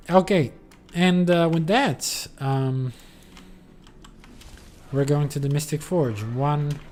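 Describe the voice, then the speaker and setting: A man's voice: medium pitch, darkish tone. A man streaming a game, talking casually and rambling, with lots of fillers and the odd swear. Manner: rambling, many fillers, loose and profane. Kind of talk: casual gameplay commentary.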